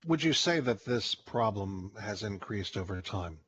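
A man hosting a podcast, posing questions in a measured, reflective way. His voice is fairly low. The recording sounds muffled, with a faint hiss behind it. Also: expressive, fairly bright tone. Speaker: podcast host. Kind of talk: interview questions.

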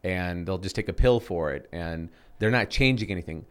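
Low-voiced rambling man in his thirties. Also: moderate pace, clear, resonant tone. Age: 30s. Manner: rambling, many restarts and fillers. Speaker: podcast co-host. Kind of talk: casual chat.

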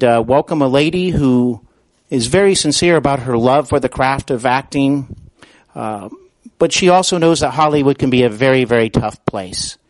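A man with a mid-pitched voice hosts an interview podcast. He talks in an earnest, halting manner. The sound is close and dry, with little room in it. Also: no echo, rough recording. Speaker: podcast host. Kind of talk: interview with spoken introduction.

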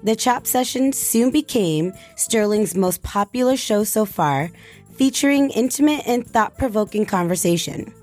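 A woman's voice: mid-range voice, animated, a little slow, a little mellow, soft background music. A woman reading scripted documentary narration.

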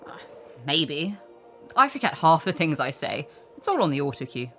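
A woman's voice: sits low, big pitch swings, normal speed, bright, forceful tone, faint music behind, heavily acted. A woman playing a jaded, cynical TV star. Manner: dry, offhand and cynical. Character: jaded celebrity woman TV presenter, cynical and lonely. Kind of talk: audio drama acting.

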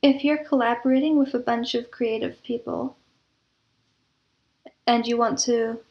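A woman's voice: a little high, steady tone, measured pace, dull audio. A woman speaking conversationally as an interview guest, explaining her creative work in earnest detail. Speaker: interview guest, audio drama producer and composer.

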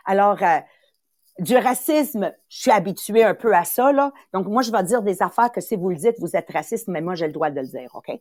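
A woman's voice: medium pitch, normal speed, dry recording, clean studio sound. A woman around sixty with a Quebec French accent, born in Italy, hosting a motivational talk in an emphatic, repetitive way. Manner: animated and emphatic, repeats phrases, acts out little voices. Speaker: podcast host and sales coach.

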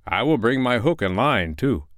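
A man's voice: medium pitch, expressive, moderate pace, a bit bright, dry recording, pitched above the performer's own voice, lightly acted. A man voicing a big, trusting male bear in a bedtime story, speaking plainly and earnestly.

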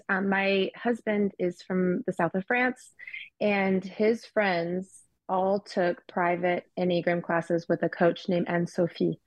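A woman's voice: lowish voice, no echo. A woman telling a casual personal story in a chatty way, often restarting mid-thought, recorded over an online call. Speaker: group member sharing her experience.

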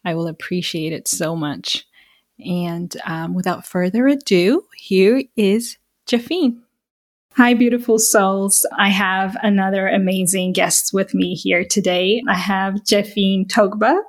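A woman's voice: medium pitch, unhurried. A woman hosting a podcast, welcoming her guest and listeners in a gushing, affirming way. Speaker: podcast host.

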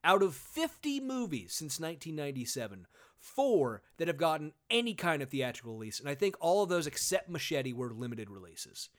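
A man chatting on a comedy podcast, his voice sitting a bit high and swinging widely in pitch as he tells stories. He is sarcastic and swears freely, with plenty of "uh"s along the way. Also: sing-song, fairly quick. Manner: sarcastic, swears, many fillers. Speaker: podcast host. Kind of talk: casual comedic podcast chat.

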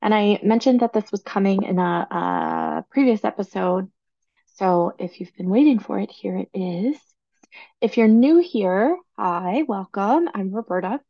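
A woman's voice: mid-range voice, sing-song, measured pace, muffled recording. A woman in her thirties hosting a casual podcast, chatty and enthusiastic, her voice still recovering from laryngitis. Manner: chatty, enthusiastic about organizing. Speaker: podcast host. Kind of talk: casual solo podcast talk.

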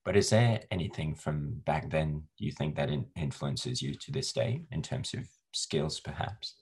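A man with a very deep, husky voice leads an interview, asking questions and backing up his guest's answers. His tone is encouraging and conversational. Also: lively intonation, measured pace. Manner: encouraging, affirming with short yeahs. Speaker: interview host.

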